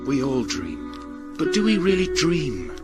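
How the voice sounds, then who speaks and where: An actor playing a pompous, self-important older zookeeper, grandiose and dramatic in a surreal comedy. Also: male voice, medium pitch, huge pitch swings, loud music behind the voice. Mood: impassioned, grandiose. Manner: grandiose, drawn-out dramatic storytelling. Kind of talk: deadpan surreal sitcom dialogue.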